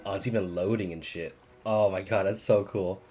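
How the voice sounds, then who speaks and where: A woman live-streaming a game, reacting in an excitable, casual, foul-mouthed way. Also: rumbling low, moderate pace, slight background noise.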